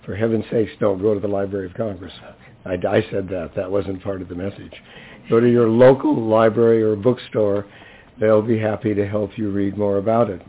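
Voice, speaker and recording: An older man with a hoarse voice gives an informal, chatty, lightly humorous introduction at a public event. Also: lowish voice, fairly even intonation, normal speed. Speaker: library center director introducing a speaker. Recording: thin, phone-like event recording.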